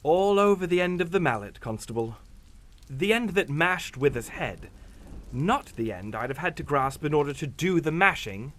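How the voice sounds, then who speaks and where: A man's voice: on the high side, lively intonation, normal speed, fairly bright tone, slight background noise. An actor playing a sly, unflappable captain in a radio drama, suave and mocking, drawing out his insinuations. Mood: sardonic. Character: sly, unflappable English captain, needling blackmailer.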